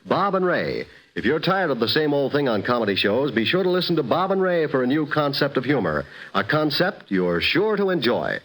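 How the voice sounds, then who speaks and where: An American male announcer in the polished style of 1950s network radio. His middle-pitched voice rises and falls vividly as he reads scripted promotion. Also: a bit bright, rough recording.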